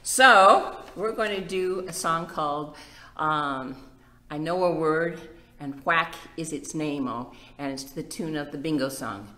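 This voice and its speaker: A woman's voice: deep voice, unhurried, bright, forceful tone. A woman hosting a casual library storytime, chatting directly to young children. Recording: echoey room with faint background noise.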